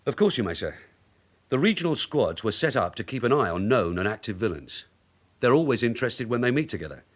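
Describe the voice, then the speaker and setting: An actor playing a shrewd veteran police detective sergeant in a radio drama, measured and deferential while he probes. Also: mid-range voice, very animated. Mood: polite, probing. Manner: measured, deferential but probing questioning. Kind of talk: naturalistic radio drama acting.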